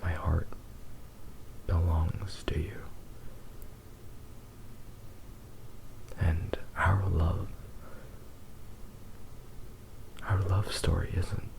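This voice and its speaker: A man playing a possessive, doting fox-boy demon lover in a tender, hushed ASMR roleplay, with lingering pauses. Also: deep voice, level tone, creaky, noisy background, heavily acted. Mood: tender, reassuring.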